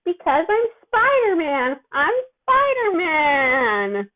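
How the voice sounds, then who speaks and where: A woman voicing a giddy, loopy teenage superhero boy in drawn-out, gleeful exclamations, in an amateur story reading. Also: sits high, sing-song, voice pushed up, heavily acted. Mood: giddy, showing off. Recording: thin, phone-like narrow-band sound.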